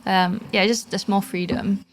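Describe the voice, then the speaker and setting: A woman's voice: medium pitch, steady tone, unhurried. A young woman from Singapore with a Singaporean English accent, a guest on a live podcast, talking casually with many fillers and restarts. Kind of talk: casual chat. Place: live podcast in a small London venue.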